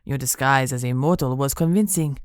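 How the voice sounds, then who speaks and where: A woman's voice: a little fast, clean tone, no echo, studio quality. Woman doing a purring werewolf villain. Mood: menacing. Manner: low purring menace. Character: menacing male werewolf captor, smooth and cruel.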